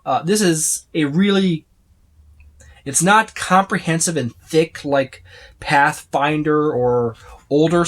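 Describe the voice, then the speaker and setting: A reviewer talking casually and unscripted through a tabletop game book, rambling along and often listing items one after another. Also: medium pitch. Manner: rambling, repeats and lists items.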